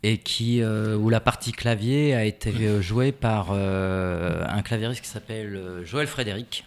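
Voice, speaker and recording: A man's voice: lowish voice, unhurried. A man, a musician guest in a radio interview, talking about his album with frequent hesitations and fillers. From half Norwegian, half French. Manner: hesitant, many fillers and restarts.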